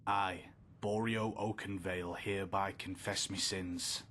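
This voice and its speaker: An actor playing a doomed, repentant criminal in a video game, reading out a confession in a solemn, rustic, deliberate way. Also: male voice, low voice, fairly even intonation, a bit bright, faint music behind. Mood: grim, resigned. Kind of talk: dramatic game voice-over of a confession.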